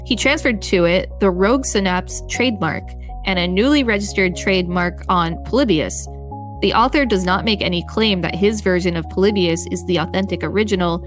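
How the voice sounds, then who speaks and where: Female co-host, middle pitch, careful article reader, faint music under the voice. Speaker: podcast co-host reading an article. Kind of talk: reading an encyclopedia article aloud.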